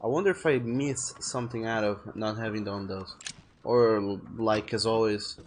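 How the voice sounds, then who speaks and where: A man's voice: lowish voice, steady tone, normal speed, a little hiss or hum. A man streaming a video game, chatting and thinking aloud in a rambling way, often restarting his sentences. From France. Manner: rambling, self-correcting, chatty. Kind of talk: casual gameplay commentary.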